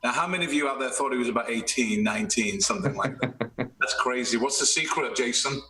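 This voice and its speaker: Bright-voiced upbeat podcast host. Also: male voice, mid-range voice, fast talker, echoey room, distant mic, low-quality recording. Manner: upbeat, complimentary interviewer. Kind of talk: casual interview chat.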